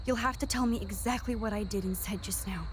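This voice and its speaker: An actress playing an earnest, anxious young time traveler in a video game, speaking with an American accent and hesitant, sincere emotion. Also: female voice, mid-range voice, a little fast, a little hiss or hum, lightly acted. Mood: urgent, earnest.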